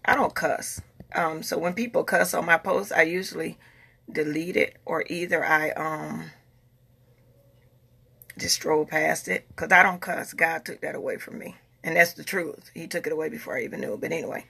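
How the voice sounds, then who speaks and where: A woman's voice: sits low, fairly even intonation, moderate pace, gravelly, pushed and bright, slightly rough. A woman in her forties talking casually to her followers in a vlog, earnest and repeating herself as she makes her point. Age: 40s. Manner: earnest, repeats herself, talks in a Southern US dialect. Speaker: vlogger.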